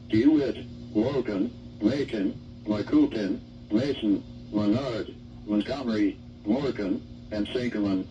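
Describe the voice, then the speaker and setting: A man reading a scripted station identification for weather radio in a formal, measured way. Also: mid-range voice, noisy background. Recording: dull, distant weather radio broadcast.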